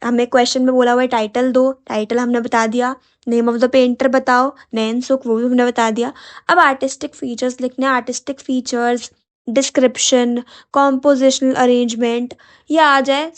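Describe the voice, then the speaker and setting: A young woman teaching an exam-prep lesson with a hoarse, sore voice, chatting directly to her students in a mix of Hindi and English. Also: fairly high pitch, little pitch movement, moderate pace.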